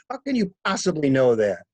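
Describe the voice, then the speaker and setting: A man's voice: on the high side, wildly swooping pitch, unhurried, darkish tone, no echo, heavily acted. A man acting a shrewd, blunt male traveler in a mystery drama reading, with clipped, wry, probing delivery. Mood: skeptical, curious. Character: male stranded traveler, shrewd, blunt, coolly inquisitive stranger.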